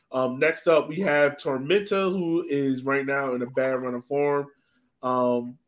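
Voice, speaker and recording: Higher-voiced man hosting casually. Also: fairly bright tone. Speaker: podcast host. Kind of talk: casual sports podcast hosting. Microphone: video call.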